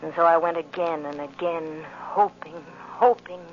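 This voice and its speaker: A woman's voice: sits low, steady tone, unhurried. An actress playing a grief-stricken, vengeful young widow in 1940s radio drama, intense and dramatic, repeating her phrases obsessively. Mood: bitter, haunted. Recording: muffled, noisy old radio recording.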